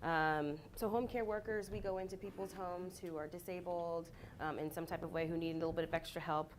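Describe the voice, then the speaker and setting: A woman's voice: lowish voice, steady tone, a little fast, a little hiss or hum. A woman on a panel telling her story as a home care worker, conversational with many ums. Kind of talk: panel self-introduction.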